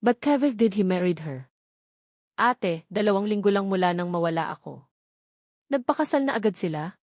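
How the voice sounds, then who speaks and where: A woman's voice: mid-range voice, animated, normal speed, darkish tone, no room sound, studio quality, lightly acted. A woman playing a frightened, rejected lover in an audiobook, imploring and hurt, with a Filipino accent. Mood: hurt, questioning. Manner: imploring, wounded.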